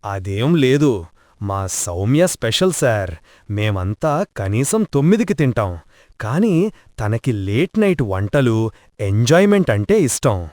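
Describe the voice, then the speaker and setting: A man's voice: medium pitch, big pitch swings, unhurried, a little mellow, dry recording, studio quality. A man voicing a teasing, joking family friend in a cartoon story. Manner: jokey.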